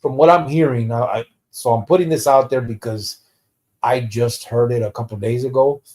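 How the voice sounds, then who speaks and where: A man's voice: mid-range voice, normal speed, a little mellow. Blunt streetwise man. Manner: slips Spanish slang into English. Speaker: podcast host. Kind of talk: live stream casual chat, reading out viewer comments.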